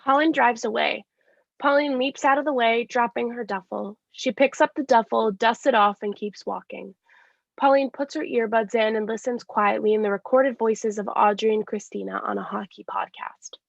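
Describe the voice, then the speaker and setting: A woman's voice: fairly high pitch, fairly even intonation, normal speed, vocal fry, no echo. A woman reading scripted stage directions in a live staged reading of a play. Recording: dull lo-fi sound.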